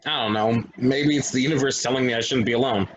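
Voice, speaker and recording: A man's voice: mid-range voice, quick pace, lo-fi sound, close to an everyday voice. A man at a stage play table reading, playing a cynical, troubled local who talks bluntly and dismissively.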